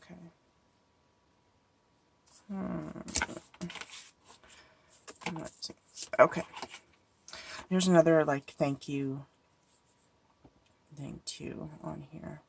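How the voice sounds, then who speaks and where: A woman's voice: deep voice, fairly even intonation, drawn-out words, vocal fry, pauses often, a little hiss or hum. A woman with a stuffy cold, thinking aloud as she walks through making a handmade card. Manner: thinking aloud, musing and hesitant. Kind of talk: casual crafting tutorial talk.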